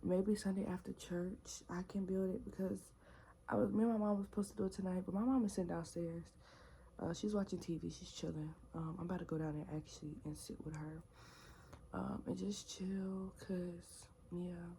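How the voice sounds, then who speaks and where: A young woman vlogging from her bedroom in relaxed, rambling casual chat, full of slang and fillers. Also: fairly low pitch, moderate pace, creaky, slight background noise, rough recording. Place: bedroom at home.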